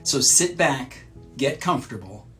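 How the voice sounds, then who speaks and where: A man hosting a children's read-aloud, narrating from a script in a measured, clearly phrased way. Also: mid-range voice, lively intonation, fairly bright tone, faint music behind.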